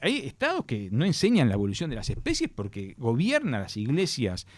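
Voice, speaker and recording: A middle-aged Uruguayan man speaking in an earnest, explanatory way, often restarting his sentences. His pitch rises and falls a lot as he makes his points. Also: wildly swooping pitch, brisk, dry recording. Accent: Uruguayan Spanish. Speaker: education guest. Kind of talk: radio interview answers.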